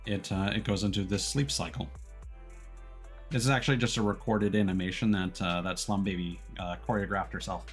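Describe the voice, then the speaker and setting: A man's voice: fairly low pitch, steady tone, fairly quick. A man chatting casually to viewers on a livestream in an easygoing, rambling way. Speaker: VTuber livestreamer. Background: music.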